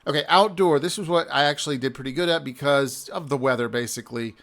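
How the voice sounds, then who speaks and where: Laid-back guy talking over his screen, mid-pitch, loose and rambly, restarts a lot, little chuckles here and there. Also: a bit bright. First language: English. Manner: easygoing, rambling, often pauses and restarts mid-sentence. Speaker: amateur cyclist reviewing an event. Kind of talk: casual screen-share walkthrough.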